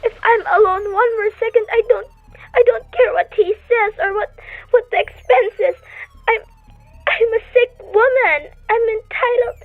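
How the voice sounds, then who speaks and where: A woman playing a neurotic, demanding invalid wife in a radio thriller, with a shrill, complaining manner. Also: measured pace. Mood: panicked, tearful. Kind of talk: old radio thriller acting. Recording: tinny, narrow phone-like sound.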